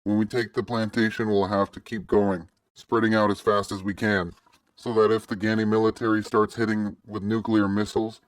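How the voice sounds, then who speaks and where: A man's voice: fairly low pitch, little pitch movement, moderate pace, no echo, close to an everyday voice. A man playing a grating rebel chief. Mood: determined. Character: harsh grim male rebel leader.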